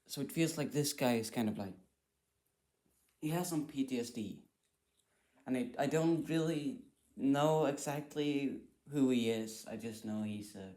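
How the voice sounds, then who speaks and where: A man's voice: medium pitch, a little mellow. A man giving casual reaction commentary, thinking aloud in a hesitant, repetitive way. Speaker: reaction video host.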